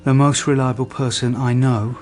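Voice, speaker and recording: An actor playing a weary, low-key middle-aged police detective in a radio drama, speaking in a terse, understated way. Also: male voice, little pitch movement, moderate pace, a little mellow, lightly acted. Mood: matter-of-fact.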